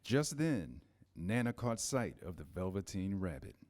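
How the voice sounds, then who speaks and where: A man narrating a scripted children's story in measured, pausing phrases. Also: deep voice, big pitch swings, a little mellow. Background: music, guitar.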